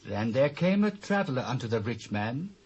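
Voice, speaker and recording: A British actor playing a stern, solemn prophet in a dramatised Bible reading, speaking in measured, declamatory phrases. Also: male voice, medium pitch, sing-song, darkish tone, highs cut off, a little hiss or hum, natural voice. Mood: solemn storytelling.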